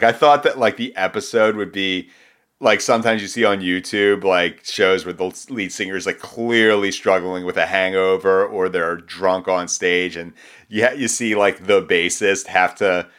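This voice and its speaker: Male co-host, very low smooth voice, wry, laughs often. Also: a bit bright. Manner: wry, laughing, filler-heavy. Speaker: podcast co-host. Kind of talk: casual chat.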